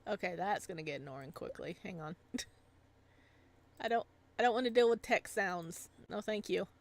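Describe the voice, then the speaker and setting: A woman's voice: mid-range voice, measured pace. A woman streamer with a chatty, giggly manner, talking casually to viewers while playing and reading aloud. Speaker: live streamer reading a visual novel aloud. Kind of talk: casual stream chat and playful read-aloud.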